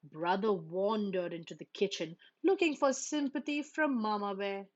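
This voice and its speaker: A woman narrating a children's storybook aloud in an expressive style for schoolchildren. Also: medium pitch, moderate pace. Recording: dull, lo-fi sound.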